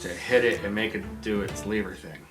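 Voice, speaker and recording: A tabletop game player improvising in casual, animated and jokey chat. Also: low-pitched, brisk. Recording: slightly distant mic, music behind. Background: guitar music.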